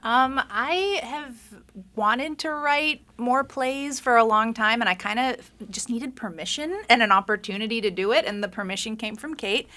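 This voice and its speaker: Female playwright guest, casual interview chat, bright tone, fairly high pitch. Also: normal speed. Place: radio studio.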